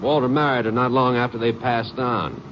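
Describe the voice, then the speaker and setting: An actor playing a folksy, cautious Texas sheriff in a 1950s radio drama, talking in a conversational, questioning way. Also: male voice, medium pitch, normal speed, natural voice. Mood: thoughtful, puzzled. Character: folksy, cautious small-town Texas sheriff, the Ranger's partner. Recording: muffled, noisy 1950 radio broadcast.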